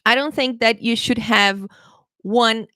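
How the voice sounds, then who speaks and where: A woman's voice: fairly high pitch, steady tone, slow pace, bright, forceful tone, dry recording, clean studio sound. A woman English teacher giving a live exam-prep lesson, chatty and encouraging, laughing often and checking in with her viewers. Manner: laughs often, playful pet phrases.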